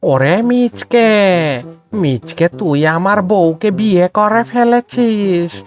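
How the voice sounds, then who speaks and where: Woman voicing a slow, scheming cartoon fox villain. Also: medium pitch, animated, a little mellow. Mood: vengeful, threatening. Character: scheming, vengeful male fox villain, jealous and gloating. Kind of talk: Bengali cartoon voice acting. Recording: music under the voice.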